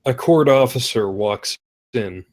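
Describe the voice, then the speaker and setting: A man's voice: medium pitch, takes it slowly, a little mellow. A man narrating the stage directions at a comedy script table read.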